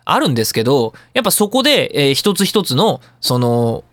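A man's voice: on the high side, sing-song, fairly quick, fairly bright tone, no room sound. A man hosting a radio talk show, explaining things in a chatty, opinionated, colloquial way. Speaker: radio show host and audio engineer. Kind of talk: casual talk radio monologue explaining sound editing.

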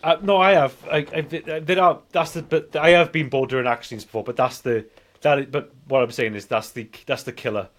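A man's voice: medium pitch, brisk, a bit bright. A man in his forties chatting casually as a podcast host, rambling and often repeating himself. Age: 40s. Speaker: film review podcast host. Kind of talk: casual chat.

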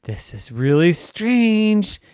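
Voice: medium pitch, drawn-out words, no room sound, clean studio sound, pitched above the performer's own voice. A storyteller voicing an adventurous young girl explorer who jumps from boredom to thrilled squeals. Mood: startled. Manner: squealing, breathless excitement.

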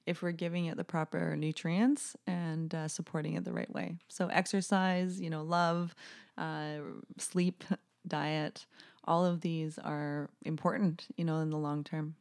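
A woman speaking as a doctor guest in a casual podcast chat, measured, with frequent fillers and soft laughs. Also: deep voice, little pitch movement. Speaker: functional medicine doctor, guest.

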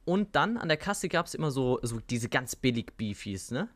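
A man's voice: mid-range voice, big pitch swings, normal speed. A young man co-hosting a podcast, telling joking stories in heavy youth slang on a home mic. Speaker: podcast co-host. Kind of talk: casual chat with youth slang.

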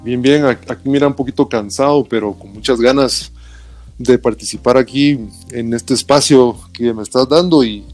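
A Guatemalan man talks as an interview guest in a casual, colloquial storytelling style, dropping in little tag words. His voice is mid-pitched, with faint music playing behind it. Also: normal speed, a little mellow. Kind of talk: casual chat, personal anecdotes.

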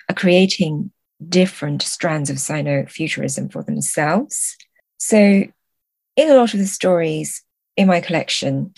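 Low-voiced woman explaining thoughtfully. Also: no room sound. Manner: measured, articulate, explanatory. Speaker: guest translator. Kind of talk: thoughtful interview discussion.

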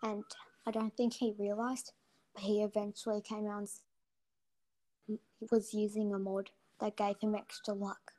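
A woman's voice: mid-range voice, level tone, normal speed, pauses often, dark tone. A young woman guest on a casual gaming interview who talks hesitantly, with many ums and trailing sentences, recorded on a cheap built-in mic. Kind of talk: casual chat.

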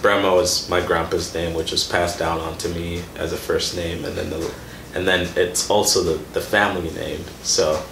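A man's voice: low-pitched, little pitch movement, normal speed, gravelly, few pauses. A man in his twenties from Sudan and Kenya, chatting informally as an interview guest and telling personal stories in casual, slangy speech. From born in Sudan, raised in Kenya. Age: 20s. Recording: echoey room with some background noise.

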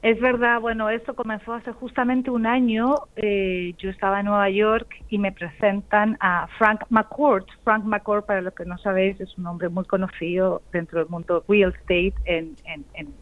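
A woman raised in the United States, giving long, lively explanations as a guest in a radio interview. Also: medium pitch, moderate pace, hardly any pauses. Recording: phone-like narrow sound.

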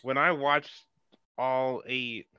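Bright, crisp man on a dull mic. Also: medium pitch, steady tone, slow, dry recording. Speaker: podcast guest. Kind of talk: casual chat.

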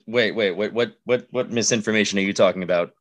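A man's voice: on the low side, fast. A man hosting a podcast in scripted comedic banter, sounding exasperated. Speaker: podcast host.

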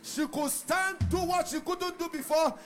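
A man in a church service, briskly reporting a healing testimony to the preacher. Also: extremely high pitch, steady tone. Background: music.

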